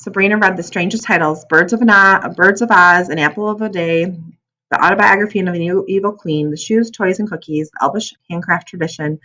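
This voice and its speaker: A woman narrating a children's book aloud as an expressive storyteller. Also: fairly low pitch, rough recording.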